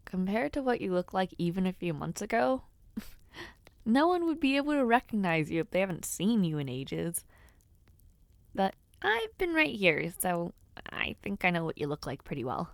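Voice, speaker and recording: A woman's voice: on the low side, big pitch swings, normal speed, creaky, dry recording. A woman voicing a young noblewoman in an audio roleplay, playful and teasing, sometimes flustered while giving compliments. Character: teasing young noblewoman before her debut. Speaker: voice actor.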